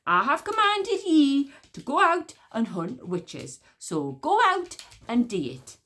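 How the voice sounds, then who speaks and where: A woman reading aloud, voicing an imperious, fierce queen who talks down to everyone in a shrill, commanding voice. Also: big pitch swings, slow pace, fairly bright tone, exaggerated voice. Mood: haughty.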